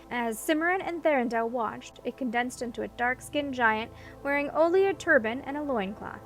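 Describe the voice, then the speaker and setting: A woman's voice: sits high, a bit bright. A woman reading a scripted story as an audiobook narrator.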